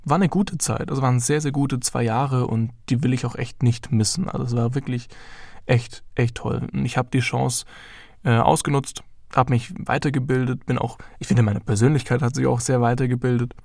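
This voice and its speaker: A man's voice: medium pitch, fairly even intonation, moderate pace, creaky, darkish tone, dry recording, studio quality. A young man in his early twenties hosting his own podcast, talking casually and rambling with lots of fillers. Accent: German. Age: young adult. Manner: chatty, rambling, with many fillers. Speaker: podcast host.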